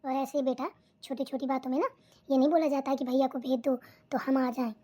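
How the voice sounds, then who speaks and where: A woman voicing a caring older mother in a family cartoon, soothing her daughter and gently admonishing her. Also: high voice, steady tone, brisk. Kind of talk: Hindi cartoon voice acting.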